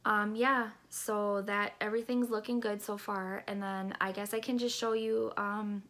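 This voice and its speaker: A woman's voice: medium pitch, little pitch movement, unhurried, fairly bright tone. A woman in her thirties with a stuffy, congested nose, chatting casually in a rambling pregnancy update vlog, recorded on a camera mic in a small bedroom. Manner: chatty, rambling, many fillers and restarts. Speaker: vlogger.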